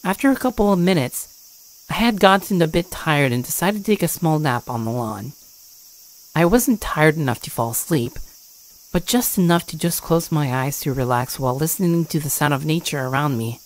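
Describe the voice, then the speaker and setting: A woman's voice: low voice, expressive, moderate pace, low-quality recording. A woman giving a scripted first-person horror story narration.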